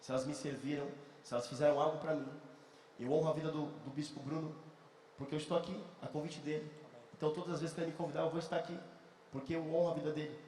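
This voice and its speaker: Fast-talking man in an echoey hall. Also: a little high, steady tone, darkish tone, recorded from a distance, slight background noise. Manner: earnest, repeats phrases for emphasis. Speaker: guest speaker. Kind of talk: personal testimony to an audience. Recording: echoey hall, mic from afar.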